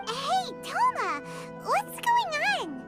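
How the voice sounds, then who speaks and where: A woman voicing a small, excitable, childlike fairy companion in a video game, with a squeaky, exclamatory delivery. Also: drawn-out words, exaggerated voice. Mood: cheerful, curious. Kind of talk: video game character acting. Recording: game music behind the voice.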